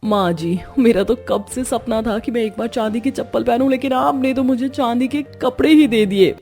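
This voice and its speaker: A woman's voice: fairly high pitch, normal speed, darkish tone, soft background music, close to an everyday voice. A woman voicing a snobbish, exclaiming young new bride in a cartoon story. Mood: delighted. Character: wide-eyed, snobbish young new bride, a rich daughter-in-law. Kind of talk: Hindi cartoon story voice acting.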